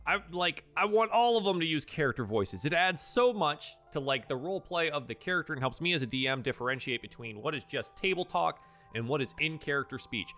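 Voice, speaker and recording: A man's voice: on the high side, lively intonation, a little fast, sharp tone, soft background music. A man hosting a casual livestream advice chat as a game master, speaking emphatically and repeating himself for stress. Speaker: host, professional dungeon master giving advice.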